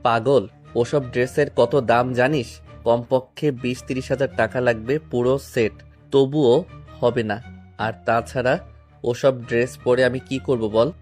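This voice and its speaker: A man's voice: medium pitch, steady tone, measured pace, darkish tone, lightly acted. Guy acting a humble young servant in an audio drama, puts himself down, music behind him. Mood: dejected, self-deprecating. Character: humble, self-deprecating young servant man, gentle and respectful.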